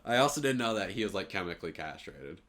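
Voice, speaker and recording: Very low-voiced young man. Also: sing-song, fast talker, fairly bright tone. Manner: informal, sweary. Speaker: podcast co-host. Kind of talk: casual chat.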